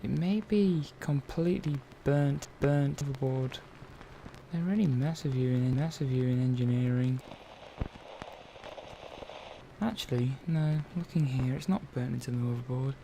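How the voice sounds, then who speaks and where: A man's voice: mid-range voice, normal speed, gravelly, mellow, lightly acted, low-quality recording. A man playing a chatty, caring robot technician in an ASMR roleplay, talking hesitantly and reassuringly to one listener. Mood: focused, concerned. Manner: hesitant, rambling, reassuring, murmured asides. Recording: faint background noise and ambience.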